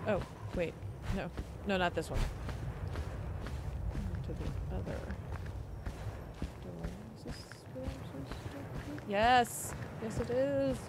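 Young woman, streamer. Deep-ish, chatty, talks to chat; desk mic with loud game sound behind. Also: slow, long pauses, background noise. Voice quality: fairly deep voice. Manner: chatty, talks to chat and to herself, excitable outbursts. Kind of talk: casual gameplay commentary. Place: home streaming room. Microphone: desk microphone, set low.

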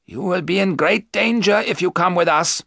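Man acting a grave professor, high and emphatic. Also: creaky, fairly bright tone, no room sound, studio quality, full character voice. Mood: firm. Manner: emphatic, deliberate. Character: grave learned older male professor, vampire hunter, commanding.

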